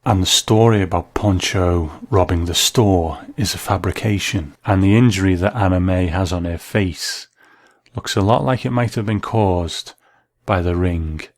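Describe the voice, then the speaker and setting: A man with a low voice narrates scripted commentary on classic drama. He is measured and analytical, recorded close and dry with no room sound. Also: no room sound.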